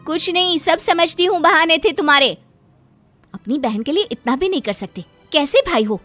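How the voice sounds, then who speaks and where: A woman's voice: very high voice, animated, normal speed, a bit bright, no room sound, faint music behind, voice pushed up. A woman voicing a spoiled, demanding young sister in a cartoon moral story, with a nagging, indignant manner.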